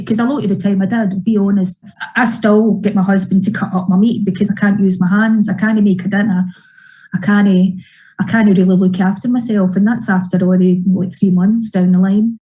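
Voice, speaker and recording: A woman in her fifties speaking hesitantly and slowly in a news interview. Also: mid-range voice, steady tone, warm tone, rough recording. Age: 50s. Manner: hesitant, slow with pauses. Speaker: patient.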